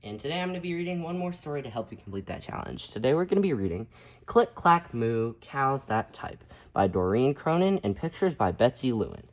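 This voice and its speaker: A man hosts a read-aloud for young children. His pitch is mid-range and moves up and down in a very animated way. He speaks expressively and encouragingly, as a picture-book storyteller does. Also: a little fast. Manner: encouraging, addressing listeners as friends.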